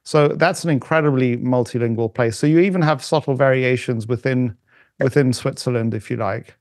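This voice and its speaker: A middle-aged man talking as a podcast guest in casual conversation, halting with frequent restarts and fillers. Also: medium pitch, darkish tone, no room sound, clean studio sound. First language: not German.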